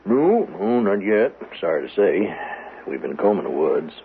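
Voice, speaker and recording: An actor playing a weary, courteous small-town sheriff in a radio drama, with a folksy, unhurried manner. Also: male voice, low-pitched, wildly swooping pitch, creaky, exaggerated voice. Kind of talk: 1970s radio drama acting. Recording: tinny, noisy old radio broadcast.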